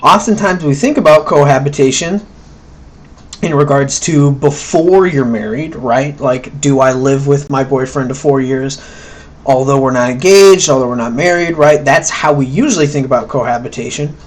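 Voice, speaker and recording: A man's voice: medium pitch, moderate pace. A man giving a casual, conversational recorded lecture, often checking in with "right?" and acting out quoted thoughts. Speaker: college instructor.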